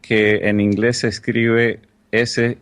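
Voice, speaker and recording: A man's voice: on the low side, little pitch movement, slow, a bit bright, clear, resonant tone. A man from the United States whose first language is English, a tech journalist speaking as an interview guest in an articulate, precise way, keen on explaining word usage. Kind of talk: podcast interview.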